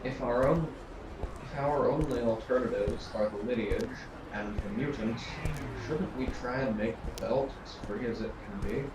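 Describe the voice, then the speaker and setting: A man's voice: medium pitch, moderate pace, natural voice. Man playing an earnest idealist in a noisy room. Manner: polite, earnest, questioning. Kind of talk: audio drama acting. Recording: roomy, distant mic with clear noise.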